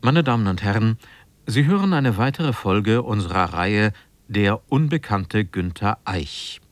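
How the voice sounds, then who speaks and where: A man presenting a scripted radio introduction in a formal, factual and measured manner. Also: on the low side, expressive, no room sound. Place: dry radio studio.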